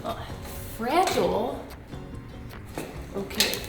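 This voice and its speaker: A woman's voice: fairly high pitch, big pitch swings, very slow, creaky. A young woman vlogging casually in a bubbly, playful way, her voice a bit stuffy from a cold. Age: young adult. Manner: bubbly and playful, laughs often. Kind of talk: casual vlog chat. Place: living room, then post office. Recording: roomy sound with music behind.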